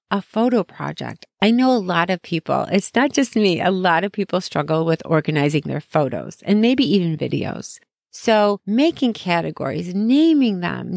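Podcast host, female, chatty and encouraging, voice going hoarse, gravelly edges, dry close mic. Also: mid-range voice, no room sound. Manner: chatty and encouraging, friendly asides. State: losing her voice. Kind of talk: casual solo podcast giving tips.

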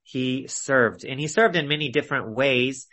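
Male preacher, bright forceful voice, mid pitch, didactic, measured phrasing, repetition for emphasis. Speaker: preacher leading a Bible study. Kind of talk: expository sermon with illustrations.

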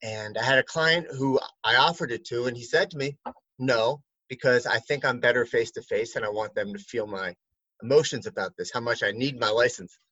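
A man's voice: medium pitch, fairly quick, breathy edge, dry recording. Easygoing man lawyer, dry muffled sound. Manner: relaxed, rambling with fillers. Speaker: attorney and interviewer. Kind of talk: conversational chat.

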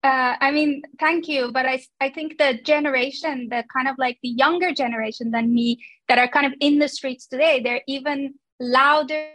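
Woman from iran, 30s, high voice, talks thoughtfully with lots of ums, dry sound. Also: steady tone, moderate pace, hardly any pauses, fairly bright tone, no room sound. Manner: thoughtful, frequent um and uh. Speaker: guest, company founder. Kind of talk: casual interview.

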